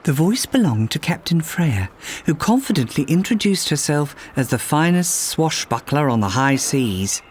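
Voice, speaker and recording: A man reading a children's fairytale aloud as a storyteller, in expressive scripted narration. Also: a little high, moderate pace, slight background noise. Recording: music behind the voice. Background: music.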